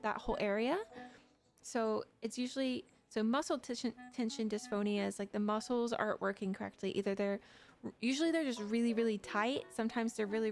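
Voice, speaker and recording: A woman's voice: on the high side, darkish tone. A young woman streamer chatting casually with her viewers, giggly and talkative. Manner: giggly, chatty, talks to chat. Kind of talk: casual livestream chat.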